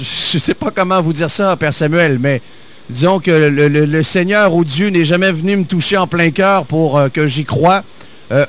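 A man interviewing a priest, hesitant and halting, with many fillers and restarts. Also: on the high side, clean tone. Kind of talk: interview questions. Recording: dull lo-fi sound.

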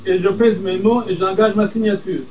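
An older man, a priest whose first language is Aramaic, answering interview questions in a firm, deliberate way. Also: high-pitched, steady tone, darkish tone. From Middle East. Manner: firm, deliberate, measured emphasis. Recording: distant, dull lo-fi sound.

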